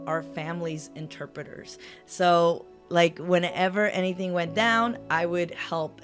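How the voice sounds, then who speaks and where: A woman lawyer from Los Angeles whose first language is Spanish, answering interview questions in a chatty way, with many fillers and self-corrections. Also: lowish voice. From Los Angeles, California. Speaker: personal injury attorney, guest.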